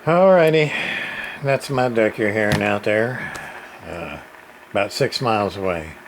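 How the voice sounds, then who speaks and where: Halting, slow-talking folksy man over radio noise. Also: fairly low pitch, animated. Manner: halting, folksy plain-spoken. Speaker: radio repair hobbyist demonstrating a CB radio. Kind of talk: casual off-the-cuff demonstration. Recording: clear background radio noise and hiss.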